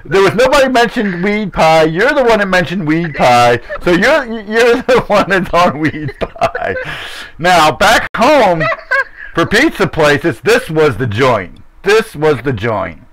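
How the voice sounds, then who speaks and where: A man's voice: high-pitched, big pitch swings, takes it slowly, noisy background. Laid-back man joking with friends. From Philadelphia area. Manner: laughing, teasing, exclaims "oh my lord". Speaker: podcast co-host. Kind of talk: casual chat among friends.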